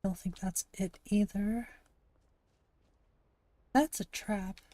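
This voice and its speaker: Gravelly-voiced woman streamer, dry close mic. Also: mid-range voice, steady tone, slow, mellow, no room sound. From Montana, USA. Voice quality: gravelly, slightly raspy. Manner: chatty, thinks aloud, reads and answers chat. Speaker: game streamer. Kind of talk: casual live-stream commentary while playing.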